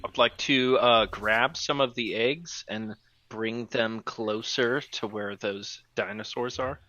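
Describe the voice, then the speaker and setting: A man's voice: mid-range voice, slow, sharp tone. A man playing a tabletop game, talking casually and hesitantly in a dull, slightly noisy recording.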